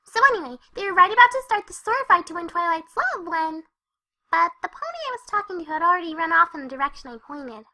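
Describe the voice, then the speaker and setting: Hyper young pony girl, extremely high, piercingly bright, scatterbrained, cheerful cartoon storyteller. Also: quick pace, full character voice. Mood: excited, chatty. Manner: chatty, scatterbrained, excitable. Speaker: first-person narrator of a fan fiction reading. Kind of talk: animated character narration.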